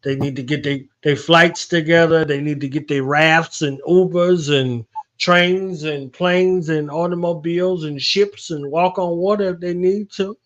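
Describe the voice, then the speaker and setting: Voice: medium pitch, steady tone, a little slow, slight background noise. Host chatting casually, playful hype, mid voice, muffled lo-fi audio. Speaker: interview host. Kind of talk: casual interview talk.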